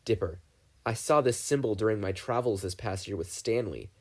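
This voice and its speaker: A man voicing a brisk, commanding older male scientist in a fan fiction audio reading. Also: fairly low pitch, darkish tone, lightly acted. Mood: concerned.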